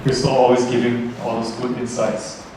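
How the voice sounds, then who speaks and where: A man's voice: mid-range voice, little pitch movement, moderate pace, darkish tone, a little hiss or hum. Young man presenting in an echoey hall. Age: young adult. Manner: jokey, chatty storyteller. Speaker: student presenter. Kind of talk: lively presentation to a class. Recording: roomy echo from far off.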